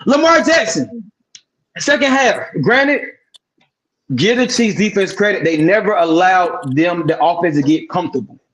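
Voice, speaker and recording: A man with a fairly high voice co-hosts a casual sports podcast. He breaks the game down in a profane, emphatic rant and hammers his points by repeating words. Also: lively intonation, a little slow, fairly bright tone, poor audio. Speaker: podcast co-host.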